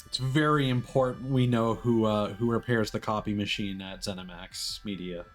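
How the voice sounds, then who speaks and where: A man's voice: on the low side, steady tone, normal speed, soft background music. A man doing casual live stream commentary on a video game, chatting to viewers in a wry, sarcastic way.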